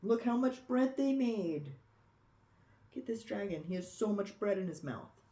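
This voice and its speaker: A storyteller reading a picture book aloud expressively for children, with dramatic pauses and cooing asides. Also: mid-range voice, animated, mellow. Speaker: storybook reader. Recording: echoey room.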